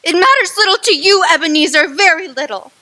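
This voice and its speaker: A woman playing a young woman who ends her engagement, grave, slow and emotional in radio drama acting. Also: extremely high pitch, lively intonation, pushed and bright, full character voice, low-quality recording. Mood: sorrowful, resolute.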